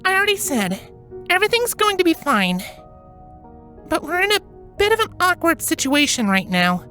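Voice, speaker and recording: Woman playing an anxious, high-voiced young pony mare. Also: big pitch swings, normal speed, pushed and bright, faint music behind, exaggerated voice. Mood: calm reassurance. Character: anxious, reassuring young female winged pony. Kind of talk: dramatised storybook reading.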